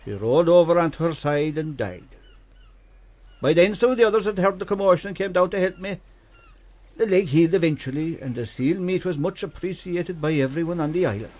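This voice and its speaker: An actor playing a genial old storyteller from an island, with an Irish accent, folksy and vivid, in a radio drama. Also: male voice, on the high side, expressive, brisk, a little mellow. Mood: animated storytelling. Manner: folksy, teasing, vivid narrator. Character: genial old island storyteller, male, spinning yarns for children.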